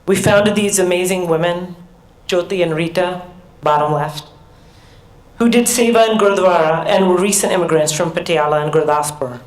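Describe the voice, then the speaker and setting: A middle-aged American woman giving an earnest, measured personal talk as a conference speaker, with small restarts. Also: on the low side. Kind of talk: personal talk at a research summit. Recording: echoey hall.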